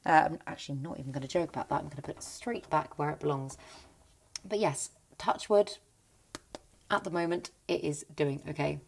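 Woman, enthusiastic plant vlogger, slightly low voice, a touch croaky; casual rambling home tour on a phone. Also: brisk. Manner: chatty, enthusiastic, rambling with restarts. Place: indoor plant cabinet at home.

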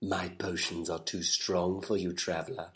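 A man's voice: sits low, little pitch movement, measured pace, fairly bright tone, pitched below the performer's own voice. A man voicing a haughty potion seller in a comic cartoon sketch, pompous and dismissive. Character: haughty, stubborn potion seller refusing a customer.

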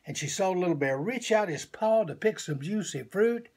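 A man in his seventies reading a children's picture book aloud expressively in a small room at home. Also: high voice. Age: 70s. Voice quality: gravelly, husky. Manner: slow, deliberate, animated.

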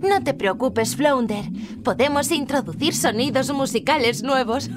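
A woman playing a sweet, hopeful teenage mermaid princess in a video game, earnest and expressive. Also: high voice, unhurried, noisy background. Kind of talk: video game character acting.

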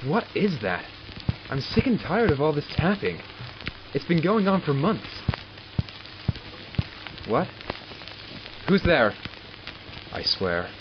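A man's voice: fairly high pitch, sing-song, takes it slowly, long pauses. Man playing an exasperated doctor, muffled noisy recording. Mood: exasperated, irritated. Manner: clinical, sardonic, self-talking. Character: arrogant, exasperated male doctor studying supernatural captives. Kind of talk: audio drama acting. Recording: muffled, with loud background noise.

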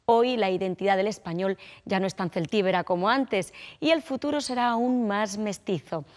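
A female TV presenter introduces the report from a studio set. She reads smoothly at a mid pitch. It is a clear studio recording. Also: moderate pace.

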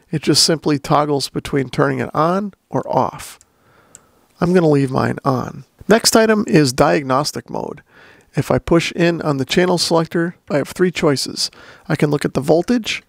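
A man's voice: fairly high pitch, gravelly, no echo, studio quality. A man giving a plain-spoken, methodical step-by-step walkthrough as a product reviewer. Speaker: radio reviewer and demonstrator.

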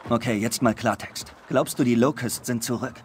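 A man's voice: mid-range voice, normal speed, slight background noise, close to an everyday voice. An actor playing a blunt, alert male squad soldier in a dubbed video game. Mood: blunt, wary. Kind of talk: German video game dubbing.

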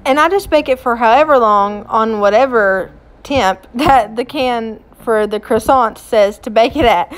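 A woman's voice: fairly high pitch, animated, a little slow, faint music behind, poor audio. A woman in her thirties with a Southern US accent, chatting in a self-mocking way in a home vlog voice-over. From Smoky Mountains area, USA. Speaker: vlogger. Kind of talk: casual voice-over chat.

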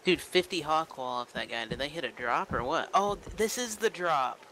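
A man's voice: on the high side, lively intonation, normal speed, bright, forceful tone. A man chatting casually with his squad while gaming, slangy and sweary, over a voice-chat microphone. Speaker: gamer in co-op squad. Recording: noisy voice-chat audio with clicks.

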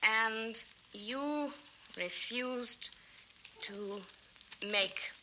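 An actress playing a polite, probing friend with careful enunciation in a 1960s children's record drama. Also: female voice, fairly high pitch, very slow, bright, forceful tone, heavily acted. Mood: careful, inquiring. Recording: crackly 1960s vinyl record.

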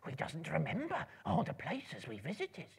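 A man's voice: a little high, huge pitch swings, normal speed, pitched above the performer's own voice, full character voice. A man voicing a small, wretched, spiteful cave creature who hisses and mutters to himself in broken grammar, in a dramatic book reading. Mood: fretful, suspicious. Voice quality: raspy, hissing, creaky.

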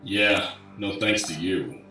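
A weary male maintenance worker played by an actor in an audio drama, grumbling with dry sarcasm. His voice is fairly low and slow, and it echoes heavily as if down a tunnel. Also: bright, forceful tone, soft background music, full character voice. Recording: echoey tunnel, distant from the mic.

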